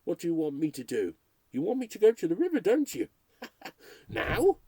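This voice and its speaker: Man voicing a nervy radio announcer, lone in a spooky house, rambling at listeners, lively swooping pitch. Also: measured pace, no room sound, heavily acted. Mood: frantic. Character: male radio announcer alone in a haunted house, cracking up. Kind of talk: read-aloud ghost story.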